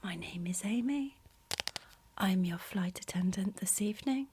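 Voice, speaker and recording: A woman performing ASMR as a gentle, reassuring flight attendant, speaking softly with breathy whispers. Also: mid-range voice, a little slow, slight background noise. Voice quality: soft, breathy whispering and hushed speech. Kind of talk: ASMR roleplay, personal attention.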